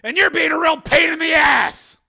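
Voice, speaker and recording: A man's voice: sits high, big pitch swings, normal speed, creaky, husky, pitched above the performer's own voice, exaggerated voice. A man doing a haunted, tense cyborg man. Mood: angry. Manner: tense, clipped, forceful. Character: haunted male cyborg, weary and guarded.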